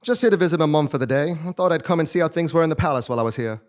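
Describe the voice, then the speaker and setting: A man's voice: on the high side, very fast, lightly acted. An actor playing a polite, upbeat working man in a stage comedy, proper and matter-of-fact. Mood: cheerfully oblivious. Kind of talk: live absurdist comedy stage acting.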